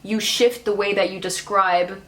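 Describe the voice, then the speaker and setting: A woman's voice: medium pitch, level tone, measured pace. Chatty young woman, roomy sound. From raised abroad in Cairo, Dubai and Shanghai. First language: German. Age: young adult. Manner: chatty, laughs often, rambling with many fillers. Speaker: guest. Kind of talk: casual conversation.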